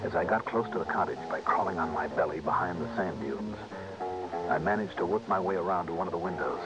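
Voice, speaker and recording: A man's voice: deep voice, fast, lightly acted, rough recording. A man playing a tough, wry investigator, narrating a 1960s radio detective drama in a terse, hard-boiled way. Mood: tense, hushed. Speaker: lead actor and narrator. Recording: band-limited old radio recording, music behind.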